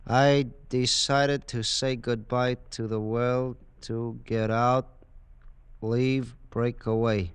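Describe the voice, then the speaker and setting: A man's voice: medium pitch, flat delivery, slow, smooth, dry recording, close to an everyday voice. A man playing a tired, easygoing working-class husband in a 1940s radio drama, colloquial and a little exasperated. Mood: reluctant, reading. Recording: vintage radio recording.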